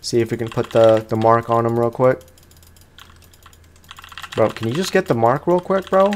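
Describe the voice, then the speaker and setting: A young man giving casual, slangy let's play commentary with animated, playfully exasperated reactions, as a gaming YouTuber. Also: mid-range voice, normal speed, a little hiss or hum. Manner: slangy, informal.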